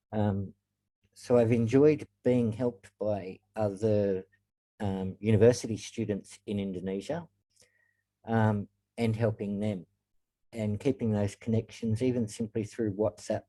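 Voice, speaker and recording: A man giving a reflective webinar talk as guest speaker, pausing often with ums. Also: fairly low pitch, warm tone, rough recording. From Australia. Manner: reflective, frequent ums and pauses. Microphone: video call.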